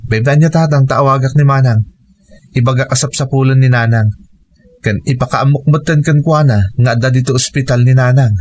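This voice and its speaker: A man's voice: medium pitch, fairly even intonation, a little fast, a little mellow, slight background noise, lightly acted. A man with a Filipino accent playing a worried, dutiful adult son in an earnest, emotional radio drama style. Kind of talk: Ilocano radio drama acting.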